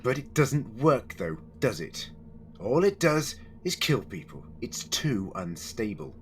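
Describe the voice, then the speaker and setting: A man's voice: medium pitch, sing-song, takes it slowly. A man playing a defiant, sardonic time-travelling alien hero in a sci-fi audio drama, challenging and wry in his delivery. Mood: grimly defiant.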